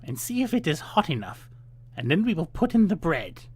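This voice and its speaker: A man voicing a wicked old witch from a storybook, with a theatrical, menacing, drawn-out delivery. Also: fairly high pitch, very animated, slightly rough, pitched above the performer's own voice. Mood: scheming. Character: wicked old witch, cajoling then cruel and impatient. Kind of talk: dramatized fairytale storybook reading.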